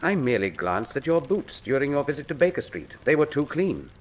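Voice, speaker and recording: An actor playing a brilliant, unflappable gentleman detective in a radio drama, precise and dryly witty. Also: medium pitch, very animated, fairly quick. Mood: wry assurance. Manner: precise, wry, self-assured.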